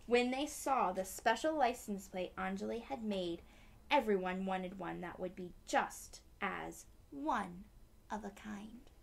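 A woman's voice: medium pitch, creaky. A woman in her twenties reading a storybook aloud expressively, with asides to young children. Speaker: children's read-aloud host. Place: small decorated room indoors.

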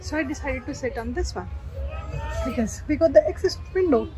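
A woman's voice: high voice, expressive, drawn-out words, over music. A woman, chatting upbeat to a handheld camera on a bus journey. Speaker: travel vlogger. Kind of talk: casual on-camera travel vlog, Hindi-English mix. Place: inside a bus and at roadside stops. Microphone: handheld camera at arm's length.

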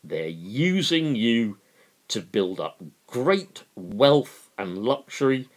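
A middle-aged man speaks slowly and emphatically in a fairly low voice. His pitch swings very widely as he reads dramatically and comments with political passion, leaving deliberate pauses. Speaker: amateur reader and political commentator. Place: small living room at home, indoors.

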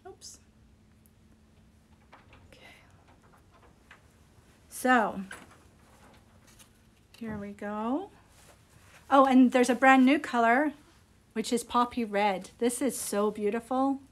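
A middle-aged woman with a slightly high voice hosts a casual live product showcase. She talks in a chatty, unscripted, appreciative way, speaking into a phone in a small room. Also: slow pace, pauses often. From Scotland. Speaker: yarn shop host presenting products. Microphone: phone at arm's length.

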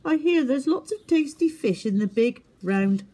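A woman's voice: sits high, animated, a little slow, warm tone, voice pushed up. Woman does a sneaky owl brother in a storybook; sugary, false friendliness over a mean streak. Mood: sly. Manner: falsely sweet.

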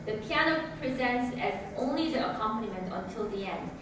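A woman giving a lecture recital, reading her presentation in a measured way with a few hesitations. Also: a little high, lively intonation. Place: recital hall with grand piano and projection screen. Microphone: far room camera. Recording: echoey hall from far off, audible room noise.

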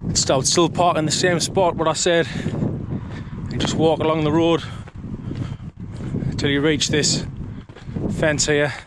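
A man's voice: on the high side, steady tone, unhurried, pauses often, heavy background noise. A man, chatting casually as he explains his work, recorded outdoors on a lapel mic. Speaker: landscape photographer. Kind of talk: casual on-location vlog. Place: outdoors on open limestone moorland.

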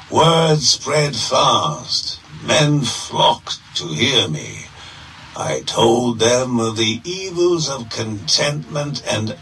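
Slow, grandiose zealot of greed. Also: male voice, mid-range voice, bright, forceful tone, far from the mic, slight background noise, full character voice, rough recording. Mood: proud, grandiose. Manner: theatrical, deliberate, declamatory. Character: zealous, grandiose male merchant prophet preaching greed and desire. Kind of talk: dramatic scripted monologue, game audio log.

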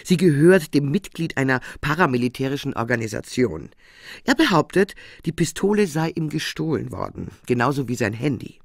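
A man's voice: mid-range voice, sing-song, normal speed, creaky, dry recording, clean studio sound. A man acting as a matter-of-fact police colleague in a crime audio drama.

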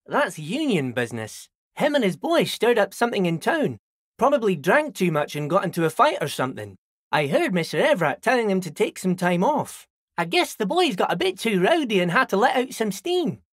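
A high-voiced folksy old dockworker. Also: male voice, very animated, a little fast, no echo, clean studio sound. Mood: friendly, chatty. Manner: folksy, rambling, repeats himself. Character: friendly simple-minded old dockworker, chatty and loyal. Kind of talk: video game character dialogue.

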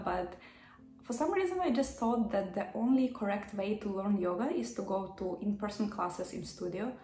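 A woman's voice: medium pitch, a little mellow. Young Ukrainian-accented woman in an echoey room. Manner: friendly, laughs often, careful pronunciation. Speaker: yoga vlogger. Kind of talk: casual to-camera Q&A. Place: small office room indoors.

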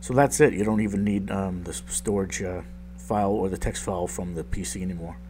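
A man with a very low, groggy voice, like he just woke up, talks casually through a software tutorial. He rambles and pokes fun at his own mistakes. A faint hum sits under the recording. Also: fairly even intonation, a little fast. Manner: rambling, self-deprecating, lots of ums. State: just woke up, deep morning voice. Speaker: tech tutorial youtuber.

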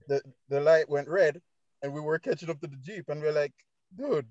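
A young man with a Jamaican accent telling things casually and haltingly, repeating phrases, on a dull phone video call. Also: on the high side, animated, a little mellow, no echo. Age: young adult. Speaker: panelist, business owner. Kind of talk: casual anecdotal talk.

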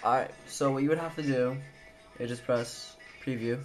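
A man's voice: mid-range voice, steady tone, moderate pace, soft background music. A young adult man giving a casual, hesitant tutorial, thinking aloud as he goes. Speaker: tutorial presenter. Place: indoors at a computer. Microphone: handheld camera mic. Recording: noisy handheld camera recording.

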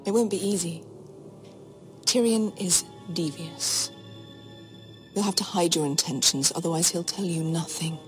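An actress playing a cold, shrewd queen in a fantasy game, imperious and condescending in measured speech. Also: fairly low pitch, soft background music, close to an everyday voice. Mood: scheming. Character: cold, shrewd, commanding adult queen, veiled threats. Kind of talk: dramatic fantasy game acting.

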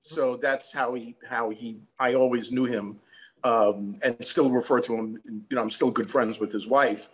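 A man's voice: medium pitch, steady tone, measured pace, rough recording. A man in his sixties with an American accent, telling an anecdote matter-of-factly as an interview guest over a video call. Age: 60s. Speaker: songwriter guest. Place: room at home.

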